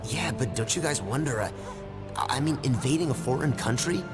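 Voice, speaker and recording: Young game hero, male actor: doubtful, earnest, questioning, mid-range, loud music underneath. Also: mid-range voice, lively intonation, normal speed, close to an everyday voice. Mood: uneasy doubt. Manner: hesitant, questioning. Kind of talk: video game cutscene acting.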